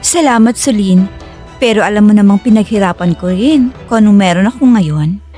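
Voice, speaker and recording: A woman's voice: mid-range voice, moderate pace, warm tone, faint music behind, natural voice. A woman playing an earnest, caring young professional woman in an emotive, sincere audio drama performance. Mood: concerned, gentle. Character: Yurika, earnest, caring self-made young woman dentist. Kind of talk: audio drama acting in Tagalog-English.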